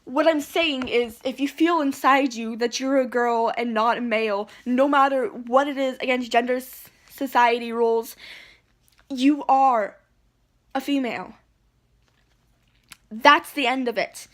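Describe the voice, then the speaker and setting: Lady with a high voice venting into her phone at home, heated and rambly. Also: measured pace, a bit bright. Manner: ranting, indignant, rambling with restarts. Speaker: vlogger. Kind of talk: heated rant to camera. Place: at home, indoors.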